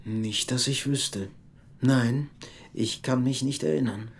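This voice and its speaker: An actor playing a guarded, politely evasive middle-aged man with a husky, gravelly voice in a radio play. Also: mid-range voice, takes it slowly, a little hiss or hum. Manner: formal, evasive.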